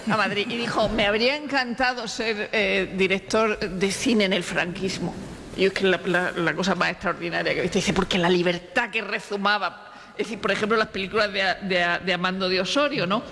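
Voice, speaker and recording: A woman's voice: medium pitch, a bit bright. A woman with an accent from Spain, telling anecdotes in casual chat, often repeating and restarting her words. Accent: Spanish from Spain. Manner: halting, repeats words, storytelling. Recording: reverberant hall with faint noise.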